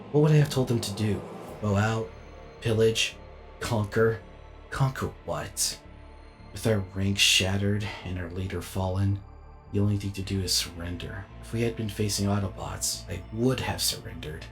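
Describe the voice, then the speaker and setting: A man playing a weary, reflective alien robot warrior in a dramatic inner monologue, speaking deliberately and formally. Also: on the low side, natural voice.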